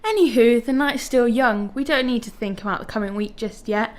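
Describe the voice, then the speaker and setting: An actress plays a quirky young woman in a radio drama. Her voice sits fairly high, and she comes across as teasing and affectionate while keeping things to herself. The delivery is natural and conversational. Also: brisk, natural voice. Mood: playful, evasive. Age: young adult.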